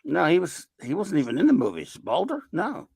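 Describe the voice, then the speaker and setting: A man's voice: on the high side, huge pitch swings, brisk, vocal fry, crowd noise. A man taking part in a casual online podcast chat, blunt and dismissive in his quips. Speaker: podcast guest.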